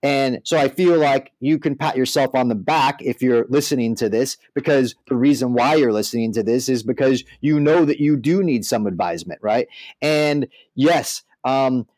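Mid-pitched man on a dry-sounding podcast. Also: normal speed, darkish tone, dry recording. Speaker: podcast co-host, music artist manager. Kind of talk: podcast discussion with some read-out article passages.

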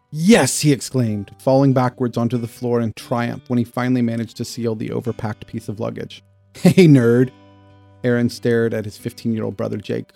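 A man reads a story aloud as an expressive narrator. His tone is mellow and warm at a middle pitch, very dry, with faint music behind. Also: gravelly. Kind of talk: expressive scripted audiobook narration.

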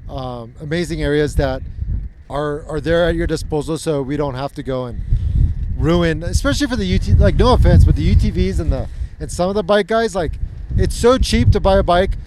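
A male host with a voice a little higher than most men's runs a loose, joking conversation and swears without a second thought. He talks with energy, and faint outdoor noise sits under him. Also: a little fast. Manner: jokey, swears freely, energetic. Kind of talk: casual chat.

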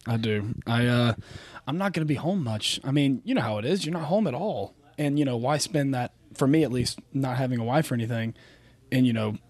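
A man's voice: mid-range voice, animated, a little fast, darkish tone, no echo. A young man, a professional golfer guest, giving easygoing answers in a casual interview.

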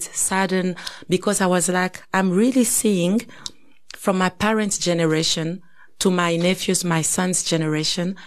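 A woman in her thirties from Congo talks through her thoughts on a podcast. Her voice sits somewhat low, and she speaks reflectively, pausing and restarting as she goes. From Congo (DRC). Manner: reflective, thinks aloud with many fillers and restarts. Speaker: podcast co-host. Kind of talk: casual conversation.

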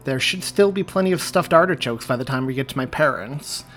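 A man's voice: medium pitch, expressive, a little fast, fairly bright tone, natural voice. A man reading the lines of a wry, self-assured young Italian-American man in his own voice.

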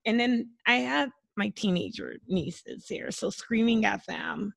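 A young woman co-hosting a podcast in casual chat, hoarse and rambling through stories. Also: medium pitch, lively intonation, measured pace, fairly bright tone. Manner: chatty, rambling storyteller. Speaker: podcast co-host.

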